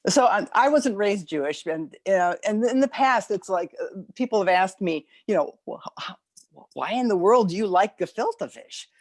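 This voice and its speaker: An older woman telling personal memories informally, in a halting way with repeated and restarted phrases. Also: medium pitch, lively intonation, dry recording, studio quality. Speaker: speaker at a community gathering.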